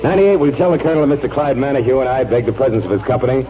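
A man plays an easygoing, wry gentleman with a mid-pitched voice and very lively intonation. It is vintage radio drama, with a slightly dull sound. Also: very fast, lightly acted. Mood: wry, amused. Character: easygoing, wry relative visiting the colonel. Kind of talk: 1940s radio drama acting. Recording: muffled 1940s radio recording.

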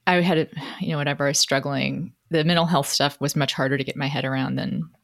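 Female interview guest, middle-aged. Slightly low pitch, candid conversational storytelling, reflective with wry self-deprecation. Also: steady tone, fast talker, vocal fry.

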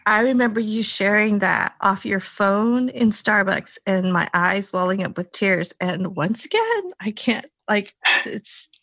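A middle-aged woman hosting a podcast interview, talking effusively in halting bursts with many restarts. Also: medium pitch, expressive, a bit bright, dry recording, studio quality. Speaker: podcast host. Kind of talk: casual interview chat.

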